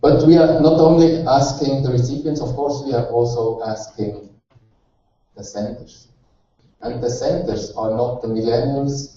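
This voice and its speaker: A man's voice: mid-range voice, warm tone. Swiss German man speaking in an echoey hall. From Switzerland. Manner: halting non-native English with frequent fillers and restarts. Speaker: conference speaker from a postal company. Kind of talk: conference presentation. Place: large conference hall. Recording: echoey hall, distant muffled mic.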